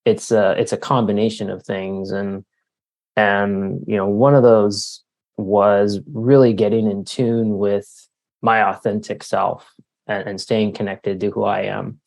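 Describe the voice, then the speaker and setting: Low-voiced middle-aged man, slightly gravelly. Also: level tone, unhurried, darkish tone. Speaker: interview guest, author and leadership coach. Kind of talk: conversational interview answers.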